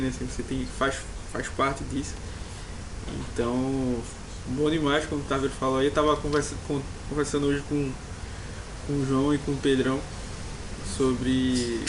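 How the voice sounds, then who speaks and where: A Brazilian man chats casually as a podcast co-host, stopping and restarting his thoughts as he goes. His voice sits a little higher than most men's, and steady background noise runs under the recording. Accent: Brazilian Portuguese. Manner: hesitant, many restarts and fillers.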